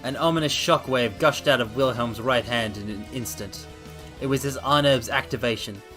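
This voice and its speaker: A man's voice: mid-range voice, normal speed, pushed and bright. A man streaming a visual novel, reading the narration aloud theatrically and adding casual asides. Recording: faint game music behind voice. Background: game soundtrack.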